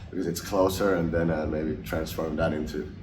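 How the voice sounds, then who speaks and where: A young man from Finland, a hockey forward, answering interview questions in a relaxed, hesitant way with many fillers and restarts. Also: extremely low pitch, normal speed. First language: English and Finnish. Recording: roomy, distant mic, noisy.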